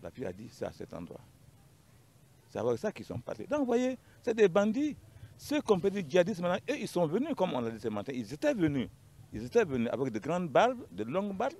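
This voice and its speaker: A man's voice: a little high, wildly swooping pitch, brisk, darkish tone. A man with a Malian accent giving an unscripted account as a panel guest, emphatic and repeating his phrases.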